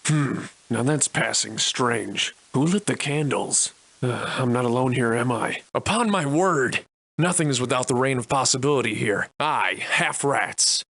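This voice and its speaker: An actor playing a wry, defiant Victorian-era adventurer and monster slayer in a video game, soliloquizing in florid, old-fashioned diction. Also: male voice, mid-range voice, a little slow, vocal fry, fairly bright tone. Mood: wary, defiant. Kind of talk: theatrical video game voice lines in archaic English.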